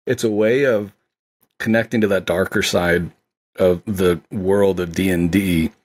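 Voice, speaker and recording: Man, low pitch, husky voice, enthusiastic podcast co-host, casual film talk. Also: fairly even intonation, unhurried. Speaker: podcast co-host discussing films.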